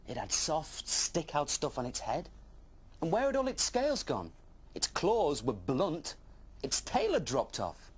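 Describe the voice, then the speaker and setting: A man's voice: medium pitch, breathy edge. A man voicing an eager, wide-eyed young dragon who tells his adventure with childlike wonder in a children's storybook.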